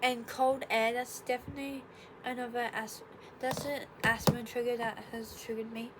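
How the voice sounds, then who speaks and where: A woman's voice: fairly high pitch, little pitch movement, normal speed. A young woman, a bit congested, chatting casually in her bedroom, rambling and correcting herself with sarcastic asides. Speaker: vlogger. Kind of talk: casual explainer vlog. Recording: noticeable room noise.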